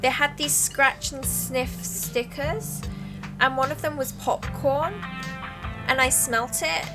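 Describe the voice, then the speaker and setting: A young woman livestreams a game in a casual, chatty way. Her voice sits on the high side and she has an irreverent streak. Music plays clearly behind her. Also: fairly even intonation, takes it slowly. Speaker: livestreamer playing a visual novel. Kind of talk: casual game commentary and reading aloud.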